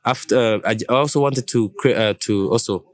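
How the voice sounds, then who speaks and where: Man, low voice, dry close sound, thoughtful and halting, wrapping up a discussion. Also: no room sound. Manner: reflective, halting with fillers. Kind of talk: casual group conversation.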